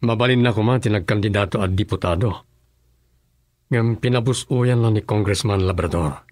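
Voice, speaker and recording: Male actor as governor and husband, radio serial. Measured, composed, fairly deep, recorded dry. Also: darkish tone, dry recording, lightly acted. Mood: calm. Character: composed governor chatting with his wife. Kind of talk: Ilocano radio drama acting.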